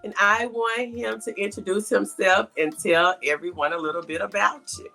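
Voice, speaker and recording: Lady host doing a casual interview, kinda low voice w lots of gravel. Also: steady tone, normal speed, bright, forceful tone, soft background music.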